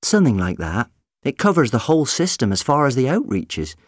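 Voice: medium pitch, very animated, a little fast, dry recording, clean studio sound, voice pushed up. A storyteller voicing a frank, sceptical woman who works in a pub, in an audiobook. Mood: explaining.

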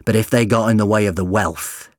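A man voicing a serious, scholarly uncle with a measured, moralising way of talking, in an audiobook story. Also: fairly low pitch, dry recording, studio quality, close to an everyday voice. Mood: grave. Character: sober, principled uncle with a scholarly interest.

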